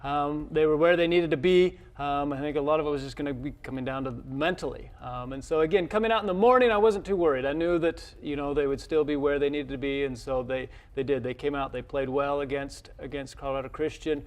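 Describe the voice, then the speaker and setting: Halting man with a fairly high voice. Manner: halting, repeats words, modest. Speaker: college tennis head coach, interview guest. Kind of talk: unscripted interview talk. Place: television studio.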